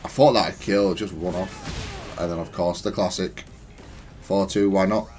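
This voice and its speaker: Male gamer commentator, fairly deep, analytical and matter-of-fact, talking through plays over quiet background music. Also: fairly even intonation, measured pace. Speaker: gamer explaining own match replay.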